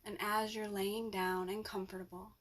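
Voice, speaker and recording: A woman leading a guided yoga nidra relaxation as the instructor, with gentle, soothing instructions and long pauses. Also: mid-range voice, level tone.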